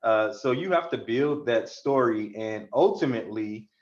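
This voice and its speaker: An American man on a panel giving casual career advice over a video call in a halting, easygoing flow. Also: medium pitch, lively intonation. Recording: slightly dull video call audio.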